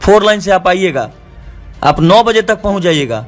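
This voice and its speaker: Bihari guy on a recorded phone call, high voice, pushy, keeps repeating himself, faint music behind. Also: animated, normal speed. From Bihar, India. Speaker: stage show artist and organiser. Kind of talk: argumentative phone conversation.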